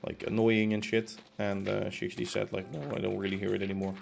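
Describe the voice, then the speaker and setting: A man's voice: on the low side, little pitch movement, fast, vocal fry, a little mellow, a little hiss or hum. A young man streaming from his apartment as he builds in a game, chatting casually and rambling with lots of fillers and restarts. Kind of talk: casual chat while building. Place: home room in a concrete apartment.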